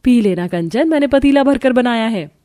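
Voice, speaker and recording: A woman's voice: fairly high pitch, expressive, normal speed, warm tone, pitched above the performer's own voice, natural voice. A woman voicing a caring, cheerful young wife and mother in a cartoon story. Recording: music bed under the voice.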